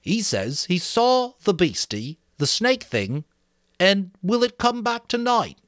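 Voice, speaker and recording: A man's voice: high-pitched, big pitch swings, takes it slowly, a bit bright, dry recording, clean studio sound, voice pushed up, full character voice. A man voicing an earnest, insistent schoolboy who speaks up urgently for others in a dramatic book reading. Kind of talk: dramatic audiobook character voice.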